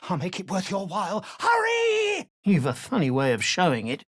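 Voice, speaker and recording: A woman playing a tough, desperate captive miner in a video game, speaking with urgent, gruff intensity. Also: lowish voice, wildly swooping pitch, moderate pace, no echo, clean studio sound, full character voice. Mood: bitter, defiant. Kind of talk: scripted game character lines.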